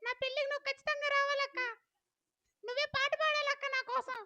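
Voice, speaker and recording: A performer voicing a chatty, cheeky little child puppet in a squeaky cartoon voice for a live children's puppet show. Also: little pitch movement, fast, pushed and bright, lo-fi sound, exaggerated voice. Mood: cheeky, friendly. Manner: eager, polite, childlike pleading.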